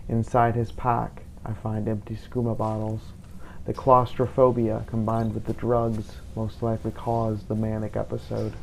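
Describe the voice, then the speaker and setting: A man narrating a game playthrough in character, as a scripted story told in a grave, measured manner. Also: fairly low pitch, gravelly, a little mellow, a little hiss or hum, low-quality recording.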